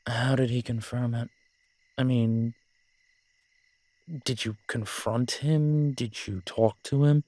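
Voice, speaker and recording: A man's voice: medium pitch, steady tone, slow pace, pauses often, warm tone, dry recording. A man with an American accent playing an easygoing, frank werewolf and former soldier in an audio drama, talking casually. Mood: earnest. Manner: casual, colloquial, hesitant phrasing. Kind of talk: dramatic audio roleplay acting.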